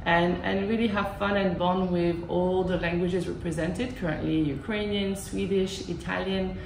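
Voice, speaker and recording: A woman in her thirties, a school language program coordinator, answering interview questions in a measured way with many fillers, seated in a classroom. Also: fairly low pitch, steady tone, creaky, darkish tone, slight background noise. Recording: echoey classroom with music behind.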